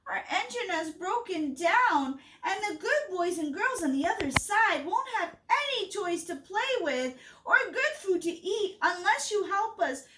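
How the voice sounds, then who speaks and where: A woman's voice: very high voice, sing-song, measured pace, hardly any pauses, echoey room, far from the mic, noisy background, pitched above the performer's own voice, heavily acted. A woman voicing a chorus of dolls and toys who plead for help.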